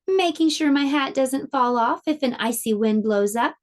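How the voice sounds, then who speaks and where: A woman's voice: high-pitched, moderate pace, close to an everyday voice. A woman voicing a small, proud male hedgehog in a storybook read-aloud.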